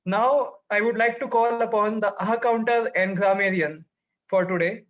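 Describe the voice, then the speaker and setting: High-voiced man hosting an online meeting. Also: steady tone, moderate pace, a bit bright, muffled recording. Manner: polite, formal phrasing. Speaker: meeting toastmaster and general evaluator. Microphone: video call.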